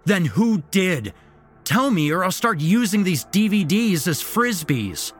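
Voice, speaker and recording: A man voicing a frightened, angry young man who shouts defiantly. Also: sits high, very animated, unhurried, faint music behind, voice pushed up. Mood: angry shouting.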